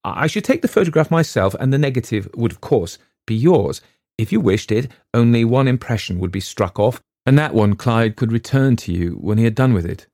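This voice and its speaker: A man voicing a polite, persistent young gentleman who speaks persuasively with slight hesitations in a storybook reading. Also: mid-range voice, lively intonation, dry recording, studio quality, voice pushed up. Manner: courteous, persuasive, slightly halting. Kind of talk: audiobook reading.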